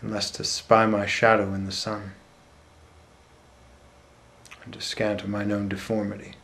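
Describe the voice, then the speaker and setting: A man playing a bitter, scheming, deformed royal villain in a deliberate Shakespearean stage monologue. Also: fairly low pitch, monotone, creaky, fairly bright tone. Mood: bitter self-loathing.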